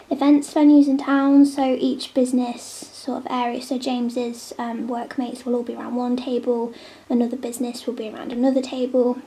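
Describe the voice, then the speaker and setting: A young woman with a cold, chatting casually while drawing at home, often dropping into a soft near-whisper. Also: fairly high pitch, poor audio. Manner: chatty, drifting between soft near-whispers and normal talk. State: snotty with a cold. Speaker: vlogger drawing on a tablet. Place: home, at a table indoors. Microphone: camera on the table.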